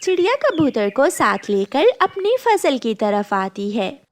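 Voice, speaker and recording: A woman's voice: sits high, big pitch swings, unhurried. A woman narrator reading a scripted children's story for a cartoon.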